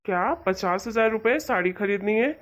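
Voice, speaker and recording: A woman's voice: medium pitch, moderate pace, close to an everyday voice. A woman voicing a patient, budget-minded husband in a cartoon moral story, placating and mildly scolding before he gives in. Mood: shocked exasperated.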